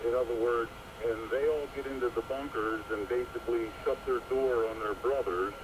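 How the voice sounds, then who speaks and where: A man's voice: mid-range voice, fairly even intonation, brisk. A man talking on a radio show, rambling and leaning on "you know" a lot. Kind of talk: casual talk-radio discussion. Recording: shortwave radio broadcast with heavy static.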